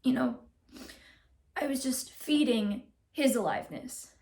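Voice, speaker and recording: A young woman playing a wistful, candid actress confiding in her divorce lawyer in a halting, rambling dramatic monologue. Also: on the high side, lively intonation, a little mellow. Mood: emotional, earnest. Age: young adult. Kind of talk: naturalistic dramatic film monologue.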